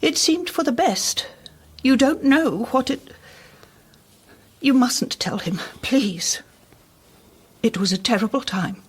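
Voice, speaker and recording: Husky, guarded older woman. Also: fairly high pitch, lively intonation, slow, long pauses, full character voice. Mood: pleading. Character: haunted, guarded older English woman hiding past. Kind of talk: radio drama acting.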